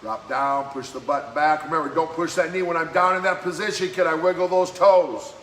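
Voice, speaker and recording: A man leading a live-streamed workout as fitness coach, giving brisk, encouraging exercise cues in a slightly hoarse voice that keeps cracking. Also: on the high side, steady tone, fairly bright tone. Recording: echoey room with faint background noise.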